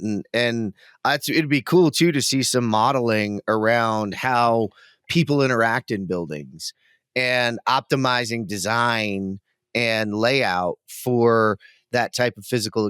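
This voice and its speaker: Man, loud and keen, mid pitch, dry close sound, podcast co-host. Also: smooth, no room sound. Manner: loud, enthusiastic talker. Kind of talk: casual podcast chat.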